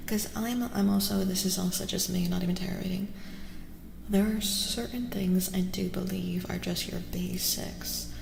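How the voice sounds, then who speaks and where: A woman giving a soft-spoken ASMR tarot reading, hushed and gentle, often slipping into whispers and softly repeating reassurances. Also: lowish voice, flat delivery, moderate pace, creaky, roomy sound, big-room sound, slight background noise.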